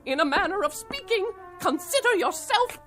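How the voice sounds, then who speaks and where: An actress in a radio drama playing a fed-up middle-aged wife, sardonic and emphatic. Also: female voice, very high voice, very animated, normal speed, fairly bright tone, faint music behind, full character voice. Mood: cutting sarcasm. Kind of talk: theatrical radio drama acting.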